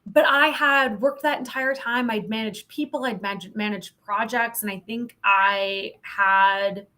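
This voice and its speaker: A woman's voice: fairly high pitch, steady tone, a little slow, bright, forceful tone. A woman speaking as a podcast guest, telling her own story in a frank, reflective, conversational way and often saying "like". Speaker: podcast guest, sales coach.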